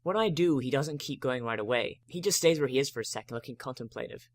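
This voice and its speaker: A man's voice: medium pitch, fast talker. A man giving a dramatic first-person reading of a story as narrator.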